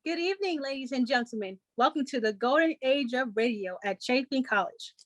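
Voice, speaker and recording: Woman as a high-voiced 1940s radio hostess. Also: expressive, brisk, fairly bright tone, no echo. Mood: showy, upbeat. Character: chipper 1940s radio show host. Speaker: host. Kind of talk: scripted 1940s radio announcing.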